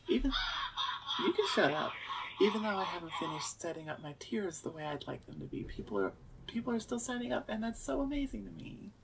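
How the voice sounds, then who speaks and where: A woman talks casually to her audience into a close microphone. Her voice has a creaky edge, and she is chatty, often doubling words for emphasis or correcting herself. Faint noise sits behind her. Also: mid-range voice, sing-song, a little fast, recorded from a distance. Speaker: audio roleplay creator and voice actor. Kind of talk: casual outro chat to listeners. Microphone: close studio-style microphone, seated.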